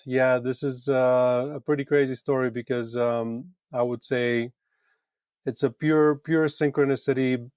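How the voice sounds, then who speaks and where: A man with a Latvian accent, a podcast guest telling his personal story casually in halting, thoughtful phrases with repeated words. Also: medium pitch, steady tone, a little mellow, smooth, no room sound, clean studio sound. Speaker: podcast guest, retreat owner.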